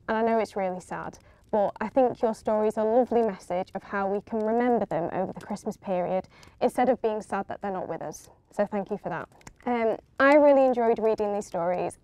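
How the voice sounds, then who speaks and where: A woman in her twenties reads stories aloud to the camera. Her voice is mellow and soft-edged, at a middling pitch, with nothing sharp in it. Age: 20s. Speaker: story reader presenting children's stories.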